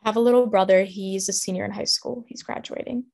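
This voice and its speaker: A young woman in her twenties from Ukraine with an American accent, answering interview questions in a measured, thoughtful way. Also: mid-range voice, steady tone, gravelly, a little mellow. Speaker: guest, co-founder.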